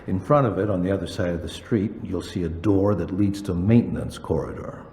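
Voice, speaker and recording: A very deep, dark-toned crooked cop villain, played by a man, cold and calculating. He speaks with measured, formal condescension and menace, in a roomy echo, as video game dialogue. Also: natural voice. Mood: coolly instructing. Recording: roomy echo, faint background noise.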